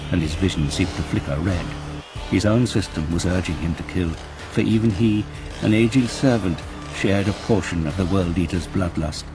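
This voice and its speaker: A man giving a grave, intense, deliberate narration of scripted fiction for an audio drama. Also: deep voice. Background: music.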